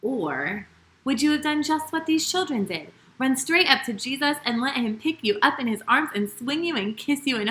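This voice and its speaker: Woman, children's storytime host, very lively intonation, sing-song, bright tone, speaking straight to kids, roomy echo. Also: fairly high pitch. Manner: animated, sing-song, addressing children directly. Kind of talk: storytime talk and read-aloud for young children.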